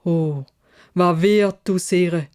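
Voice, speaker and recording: A woman's voice: fairly low pitch, very slow, a little mellow, smooth. A woman voicing a humble travelling merchant and loving father in a fairytale, earnest and plain-spoken, with a Swiss German accent. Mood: pleading.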